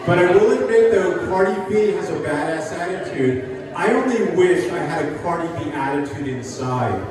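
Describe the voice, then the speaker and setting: Older male stand-up, high sing-song delivery, recorded from far off in a reverberant hall with a crowd laughing. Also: lively intonation, moderate pace. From New Jersey. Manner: sing-songy, talks as if everything's a question. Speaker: stand-up comedian. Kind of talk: stand-up comedy set. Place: live venue with an audience. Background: audience laughter.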